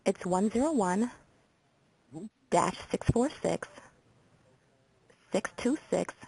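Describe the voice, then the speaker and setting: A woman playing a procedural, businesslike hotline call taker with an American accent and a husky, creaky voice, in a simulated intake call. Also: medium pitch, animated, slow pace, darkish tone, no echo, heavily acted, low-quality recording. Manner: procedural, reads details back methodically. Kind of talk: simulated phone intake interview for training.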